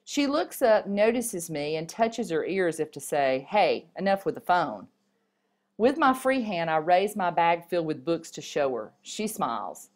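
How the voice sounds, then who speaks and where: A woman with a fairly low voice reads aloud as if from a storybook. Her delivery is expressive, with a small stumble now and then. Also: unhurried. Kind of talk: reading a story and poem aloud.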